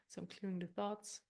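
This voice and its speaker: A woman leading an unscripted guided meditation in a gentle, unhurried, explanatory manner, often circling back over her words. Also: mid-range voice.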